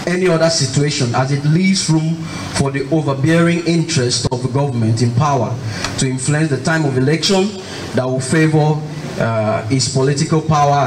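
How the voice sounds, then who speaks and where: A man with a Nigerian accent reading a formal submission as a civil society representative. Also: mid-range voice. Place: public hearing hall at lectern. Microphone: lectern microphone. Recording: echoey hall, faint noise.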